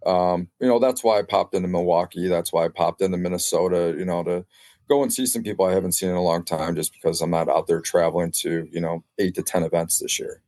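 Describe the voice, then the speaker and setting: A man's voice: low-pitched, fairly even intonation, fast talker, dark tone, no room sound. A man talking casually as a guest on a sports podcast, reflecting as a former competitor.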